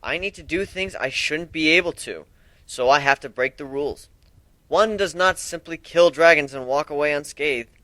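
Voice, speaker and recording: A man's voice: fairly high pitch, moderate pace, bright, forceful tone, dry recording, pitched above the performer's own voice. A man voicing a weary, wry young male griffin adventurer with a casual, sardonic manner, in a fantasy fan story. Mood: wry, modest.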